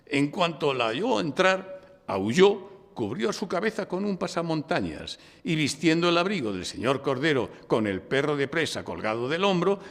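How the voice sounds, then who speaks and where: A man's voice: a little high, sing-song, moderate pace, fairly bright tone. An actor with an accent from Spain playing an oratorical male defence lawyer who argues and narrates his case in a courtroom radio drama. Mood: triumphant, oratorical. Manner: oratorical, insistent, declamatory. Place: public library, before a live audience. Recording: reverberant hall, live stage recording.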